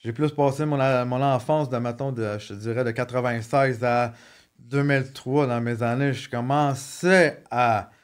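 A man's voice: mid-range voice, steady tone, moderate pace, clear, resonant tone. Joking Quebec French man telling stories. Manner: joking, frequent restarts and fillers. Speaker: podcast guest. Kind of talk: casual storytelling.

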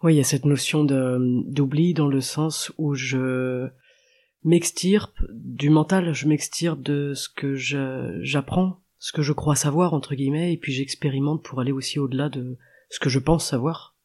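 A man's voice: mid-range voice, fairly even intonation, unhurried, a little mellow. A man hosting an interview in a reflective, conversational way, rephrasing what his guest says. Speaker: podcast host.

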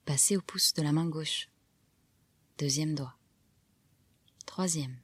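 A woman guiding a relaxation meditation, giving calm, soft, measured instructions with pauses between phrases. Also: deep voice, husky, dry recording. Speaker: yoga nidra guide. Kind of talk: guided relaxation meditation narration.

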